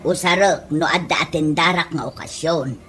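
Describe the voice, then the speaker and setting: An actress playing a hard-up, complaining older sister and wife in a comedy radio drama, with an Ilocano accent and a plaintive delivery. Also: female voice, sits low, moderate pace, a bit bright, faint music behind. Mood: grumbling. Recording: noisy radio drama recording.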